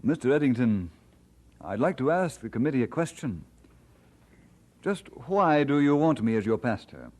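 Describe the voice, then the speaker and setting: An actor playing an earnest, devoted minister and husband in an old-time radio drama, speaking in a measured, sincere way. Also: male voice, mid-range voice, very animated, darkish tone. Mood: earnest, deliberate.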